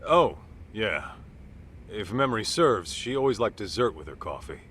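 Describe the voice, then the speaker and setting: A man's voice: fairly low pitch, big pitch swings, normal speed, a bit bright, slight background noise. A man playing a brash, streetwise private detective in a video game, talking bluntly in casual slang. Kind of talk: video game cutscene dialogue.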